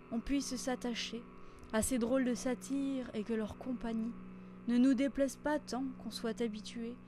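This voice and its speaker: A woman reciting a poem in a measured, theatrical and solemn manner. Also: fairly high pitch, darkish tone. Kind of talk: dramatic poetry recitation. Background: instrumental music.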